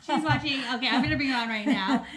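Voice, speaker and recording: A woman's voice: high-pitched, fast talker, a loud crowd. A woman in her thirties hosting a casual live video, chatty and personal and often laughing as she talks straight to her viewers. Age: 30s. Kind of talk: casual live-stream chat.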